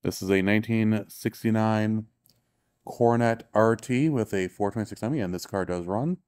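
Man, low smooth voice, casual walking tour host in an exhibition hall, dry close sound, eager and offhand. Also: moderate pace, darkish tone. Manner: enthusiastic, offhand, self-correcting. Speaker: show tour guide and author. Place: large indoor exhibition hall.

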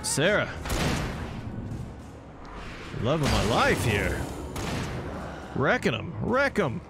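A man's voice: mid-range voice, wildly swooping pitch, takes it slowly, vocal fry, heavy background noise. A man giving casual let's play commentary, chatting away with joking asides to the game's characters. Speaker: gameplay host. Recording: game music behind the voice. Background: video game music and action sounds.

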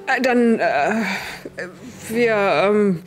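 A nervous underling from a space-opera tabletop game, played by a woman. The speech is halting and uncertain, at a medium pitch, over faint background music. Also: expressive. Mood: nervous, hesitant. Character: intimidated, hesitant henchman pleading with a Jedi.

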